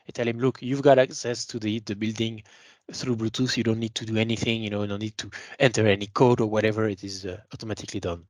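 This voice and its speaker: A man's voice: lowish voice, little pitch movement, fast talker, no echo. A man giving an explanatory product webinar as a sales presenter, talking informally and stressing his key words.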